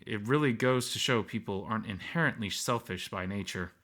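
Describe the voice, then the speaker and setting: Bright-voiced man narrating a story. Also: fairly low pitch, brisk. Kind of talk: scripted first-person story narration.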